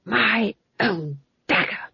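Ghost of an old king, narrator's put-on voice, aggrieved, sweary, husky, storybook character. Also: mid-range voice, lively intonation, very slow, no echo, highs cut off, voice pushed up, exaggerated voice.

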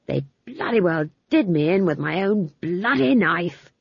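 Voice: medium pitch, animated, measured pace, creaky, breathy edge, no echo, highs cut off, exaggerated voice. A narrator voicing the ghost of an old murdered king, aggrieved and given to swearing.

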